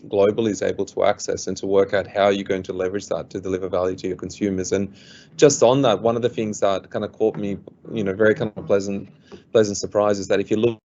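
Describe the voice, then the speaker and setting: Mellow low-voiced man explaining on a call. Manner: earnest, explanatory, with repeated words. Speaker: webinar panelist. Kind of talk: business presentation. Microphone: video call. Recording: dull lo-fi online call audio.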